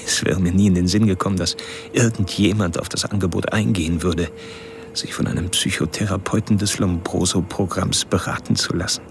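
An actor playing an obsessive killer, narrating his stalking in a deliberate, literary, confessional way in a crime radio drama. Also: low-pitched, steady tone, slight background noise. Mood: restless, eager.

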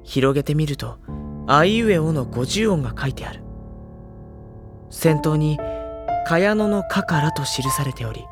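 An actor playing a mild, bookish high school boy with dry retorts, narrating and talking in an audio drama. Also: animated, measured pace, pauses often, music in the background. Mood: calm, reflective.

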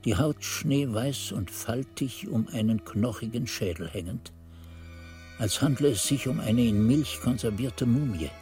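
An actor playing a monk chronicler, narrating a radio drama in solemn, descriptive literary prose. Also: male voice, medium pitch, mellow. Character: monk chronicler recalling events in solemn detail.

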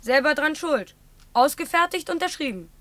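A woman's voice: high-pitched, lively intonation, normal speed, a bit bright. A woman playing a stern, pompous child who formally reads out a court record in a German radio play. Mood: officious, stern. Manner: officious, formal recitation.

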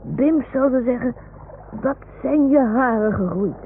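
Small earnest boy, radio play. Slow, creaky, childlike delivery; noisy, narrow-band vintage recording. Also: on the high side, animated. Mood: dreamy, trusting. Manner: childlike, slow, simple phrasing. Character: small earnest boy talking to his toy dog. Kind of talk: 1960s Dutch radio drama acting.

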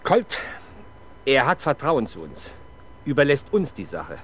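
An actor playing a dry, wry police inspector who gives clipped orders in a 1970s German radio crime drama. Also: male voice, mid-range voice, huge pitch swings, measured pace. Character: wry male police inspector, brisk and commanding. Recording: noisy radio drama scene, street ambience.

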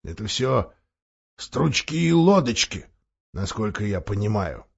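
A man's voice: on the low side, very animated, a little slow, vocal fry, slightly rough, lo-fi sound, pitched below the performer's own voice, exaggerated voice. Man voicing a blunt, grumbling dwarf. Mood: grumbling, sceptical. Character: grumbling sceptical male dwarf, stubbornly loyal, blunt. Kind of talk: audiobook character voice.

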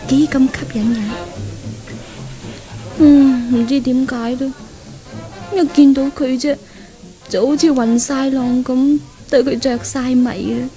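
High-voiced, coquettish spoilt young heiress. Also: female voice, steady tone, slow, pauses often, mellow. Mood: smitten, dreamy. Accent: Hong Kong Cantonese. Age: young adult. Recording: old radio recording, music behind.

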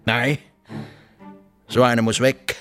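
An actor playing a blunt, terse rural farmer with a Swiss German accent in a radio drama. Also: male voice, mid-range voice, level tone, slow pace, fairly bright tone, clean tone, soft background music. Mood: grimly determined. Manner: terse, plain-spoken, unemotional. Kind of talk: naturalistic radio drama acting.

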